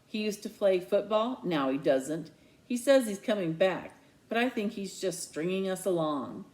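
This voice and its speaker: A man's voice: high voice, expressive, normal speed, a little mellow, rough recording. A woman voicing a bitter, complaining schoolboy sidekick in dialogue from a children's novel.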